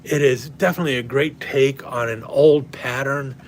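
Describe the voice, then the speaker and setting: A man rambles through a casual home livestream, full of ums and restarts. His mid-pitched voice is wearing thin and a little hoarse. A faint hum sits under the recording. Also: fairly even intonation, normal speed. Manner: rambling, many fillers and restarts. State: slightly hoarse, losing his voice. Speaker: knife collector livestream host. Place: at home indoors.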